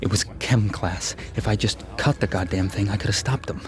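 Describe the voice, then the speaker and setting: An actor playing an emotional young man, a student in a radio drama, with halting, pleading speech. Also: fairly low pitch, natural voice. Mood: grieving. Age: young adult. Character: earnest, distraught young male student, younger brother.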